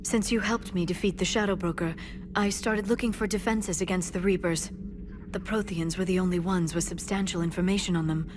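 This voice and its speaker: A woman playing a composed, earnest alien scholar and information broker in a video game, speaking in a measured, articulate way. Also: lowish voice, steady tone, natural voice. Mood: reflective, measured. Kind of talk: video game character dialogue. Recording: clear ambient noise behind game dialogue.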